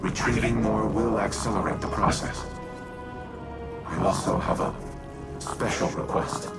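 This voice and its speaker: An actor playing a grave, calculating artificial intelligence built for war in a video game, speaking formally and deliberately in a deep, gravelly voice. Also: male voice, far from the mic, over loud music, natural voice. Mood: measured, grave. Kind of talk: scripted video game dialogue.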